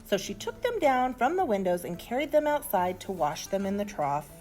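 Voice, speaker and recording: A woman reads a children's storybook in an expressive, deliberate storytelling manner. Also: mid-range voice. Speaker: storybook reader. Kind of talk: animated children's story narration.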